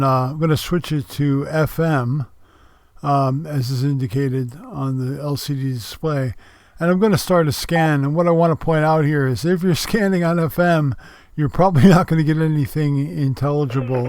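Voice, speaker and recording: A man with a slightly higher-than-average voice narrates a product review. His delivery is casual and explanatory, with informal phrasing as he walks through features. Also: a little mellow.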